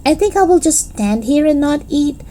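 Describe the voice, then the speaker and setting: A woman's voice: high voice, lively intonation, normal speed, dark tone, a little hiss or hum, pitched above the performer's own voice. A woman voicing an eager, cheeky little storybook girl, with childlike, emphatic and informal phrasing. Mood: hesitant, frank.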